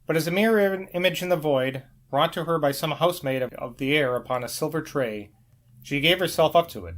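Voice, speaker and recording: A man narrating a novel as an amateur audiobook reader, with the occasional small stumble over the text. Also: on the high side. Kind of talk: scripted literary narration, novel read aloud.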